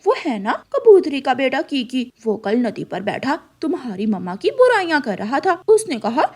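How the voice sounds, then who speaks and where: Meddling female crow acted by a woman for a kids' cartoon: high-pitched, scheming, slyly insinuating. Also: sing-song, normal speed, a little mellow, husky, heavily acted. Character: sly, meddling female crow auntie, mischief-maker.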